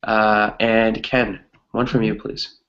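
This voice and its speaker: A man's voice: lowish voice, flat delivery, slow, a bit bright, lo-fi sound. A man teaching an English grammar lesson conversationally, encouraging his students and often checking in with "right?".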